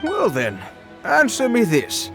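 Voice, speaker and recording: A man's voice: very high-pitched, wildly swooping pitch, takes it slowly, over music, exaggerated voice. A man voicing a pompous, skeptical debater in a video game, arguing in a challenging manner. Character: pompous, skeptical male debater, devout and argumentative.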